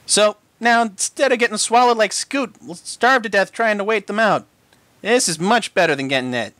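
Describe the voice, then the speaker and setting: A man's voice: high-pitched, animated, normal speed, pushed and bright, dry recording, voice pushed up. A man voicing a prim, easily flustered young female pony, fussy in manner, in a read-aloud story. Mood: strained.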